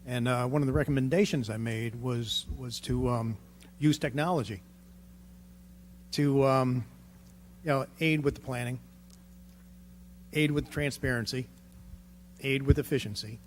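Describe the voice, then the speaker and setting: A man's voice: mid-range voice, fairly even intonation, measured pace, pauses often, slight background noise. A man on the city council making remarks and a motion, hesitant with many fillers. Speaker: council member.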